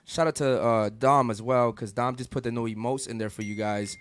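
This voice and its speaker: A man's voice: mid-range voice, fairly even intonation, a little fast, no room sound. A young man hosting a live podcast from a studio, talking loosely and hyping up his viewers, with "guys" and "okay" all the time. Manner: loose, hype-driven, repeats "guys" and "okay". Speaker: podcast host. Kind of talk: casual live podcast chat. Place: studio in Miami.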